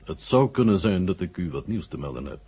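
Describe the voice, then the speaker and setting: An actor playing a courteous, shrewd Soviet marshal in a radio drama, speaking in a measured, formal, self-assured way. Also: male voice, deep voice, very animated, dark tone, slightly rough, exaggerated voice. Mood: courteous, genial. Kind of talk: 1970 Dutch radio drama acting. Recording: dull 1970 radio recording.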